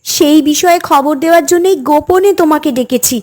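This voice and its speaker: An actress playing a conscientious, distressed village wife in a cartoon, with a pleading, reproachful way of talking. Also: female voice, sits high, a little fast, darkish tone, lightly acted. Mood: troubled, confiding. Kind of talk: dubbed cartoon dialogue. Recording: music under the voice.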